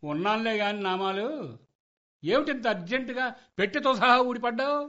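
Bright, high-pitched grumbling husband. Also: male voice, animated, a little fast, heavily acted. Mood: exasperated. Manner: nagging, argumentative. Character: grumbling, exasperated middle-aged husband in domestic comedy. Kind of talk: Telugu radio comedy play acting. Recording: dull, lo-fi radio play recording.

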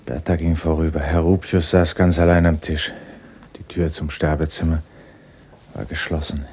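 Radio drama narrator, man: very deep, mellow, husky voice, restrained and sombre literary delivery. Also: level tone, moderate pace.

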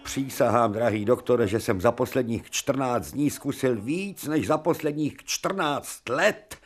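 An actor playing an exasperated, haughty older professor of surgery in a radio play. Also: male voice, medium pitch, close to an everyday voice. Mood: agitated. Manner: haughty, exclamatory.

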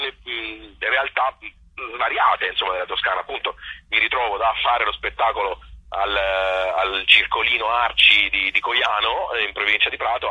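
Man, raspy, piercingly bright, casual theatre guest. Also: on the low side, low-quality recording. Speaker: theatre author-actor being interviewed. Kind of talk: casual interview chat.

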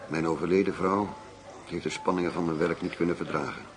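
An actor playing a blunt, world-weary middle-aged undercover detective in a radio drama. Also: male voice, deep voice, brisk, close to an everyday voice. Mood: subdued, confiding. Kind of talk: Dutch radio drama acting. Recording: radio play with clear background hum.

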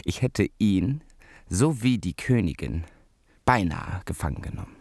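An actor playing a boastful, self-satisfied conquering emperor reading a curt letter in a radio play. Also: male voice, low voice, lively intonation, measured pace, a little mellow, slightly rough, no echo, studio quality, heavily acted. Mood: triumphant.

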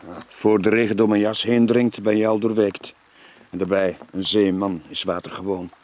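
An actor playing a weary, guilt-ridden middle-aged sailor with a Flemish accent, in a brooding radio drama style with a husky, breathy voice. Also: male voice, lowish voice, little pitch movement, a little mellow, close to an everyday voice. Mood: uneasy, reluctant. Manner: brooding, halting confession. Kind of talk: 1980s Dutch-language radio drama acting.

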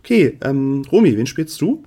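A man's voice: mid-range voice, big pitch swings, normal speed, dark tone. A man hosting a tabletop game recording as game master, casually prompting players to introduce themselves. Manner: easygoing, prompting, affirming.

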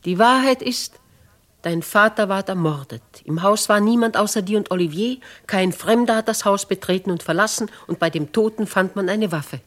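An actress in a 1950s German radio play as a stern, shrewd older noblewoman who questions in a measured, imperious way. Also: mid-range voice, natural voice. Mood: probing, stern. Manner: measured, imperious, theatrical questioning with crisp emphasis. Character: stern, shrewd elderly noblewoman, probing like an interrogator. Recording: 1950s radio drama studio recording.

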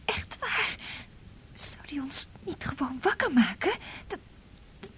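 An actress playing a frightened, pleading young woman in a radio drama, speaking in an anxious, breathy whisper. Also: high voice, big pitch swings, slow, fairly bright tone, heavily acted. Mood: frightened, urgent. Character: frightened, pleading young female night-time waker.

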